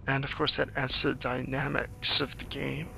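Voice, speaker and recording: A man improvising playthrough commentary on a video game, thinking aloud in a hesitant, halting way with a gravelly voice. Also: medium pitch, flat delivery, pushed and bright, slight background noise, low-quality recording. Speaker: screenwriter doing game commentary. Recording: game music behind the voice. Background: video game music.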